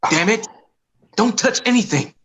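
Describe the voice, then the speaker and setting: A man playing a protective father and survivor with an earnest, halting delivery in a dramatic table read on a video call. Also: high voice, lively intonation, a bit bright, slightly rough, exaggerated voice. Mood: firm, protective. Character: protective, grateful father surviving a zombie apocalypse. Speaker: actor in an amateur table read. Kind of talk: dramatic script reading.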